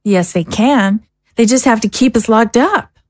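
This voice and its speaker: A woman's voice: on the high side, measured pace, no room sound, studio quality. A woman playing a guarded, disillusioned young woman in an audio drama, speaking in a hesitant, downbeat way. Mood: pessimistic. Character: guarded, disillusioned young woman, modest former militia member.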